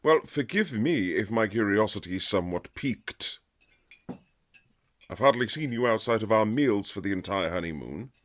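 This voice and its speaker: A man playing a haughty, sardonic aristocratic husband in a romantic period audio drama, speaking in formal, measured, teasing phrases. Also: on the low side, animated, close to an everyday voice. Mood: irritated, stiff. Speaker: audio roleplay performer. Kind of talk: romantic period-drama ASMR roleplay, scripted.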